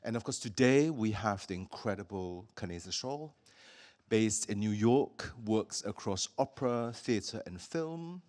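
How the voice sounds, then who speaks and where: A man from Singapore hosting a public talk with an artist, speaking thoughtfully with many pauses and fillers. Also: on the low side, lively intonation, darkish tone. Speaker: host.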